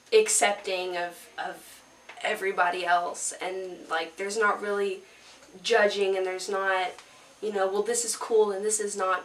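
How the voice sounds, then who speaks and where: A woman's voice: medium pitch, fairly bright tone, a little hiss or hum. A teenage female theater student giving casual answers in an interview. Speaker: high school theater student. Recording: echoey room, distant mic.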